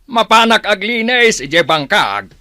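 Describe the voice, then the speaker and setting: A man's voice: high-pitched, sing-song, unhurried, sharp tone, dry recording, full character voice. An actor playing a plaintive older village father in a radio comedy-drama, given to repeating himself. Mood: subdued, regretful.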